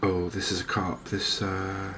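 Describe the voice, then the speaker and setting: A softly spoken man giving hesitant, unhurried ASMR-style commentary over a video game. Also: sits low, monotone. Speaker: gaming video host. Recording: clear game ambience noise behind voice. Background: outdoor wildlife ambience from a fishing game.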